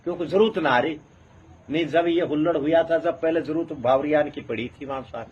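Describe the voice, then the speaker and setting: High-pitched, husky rural storyteller man. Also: brisk, a little mellow, highs cut off. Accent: rural Haryana-Rajasthan. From North India. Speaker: traditional community genealogist and storyteller. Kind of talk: oral history storytelling.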